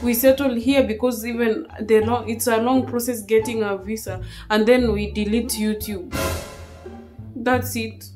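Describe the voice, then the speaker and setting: A woman's voice: fairly high pitch, steady tone, measured pace, over music. A young woman with a Kenyan accent and Swahili as a native tongue, chatting casually and plaintively indoors. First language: Swahili and a mother tongue. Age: young adult. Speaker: vlogger playing a prank on her partner. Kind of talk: casual relationship phone chat. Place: small living room, seated on a sofa indoors.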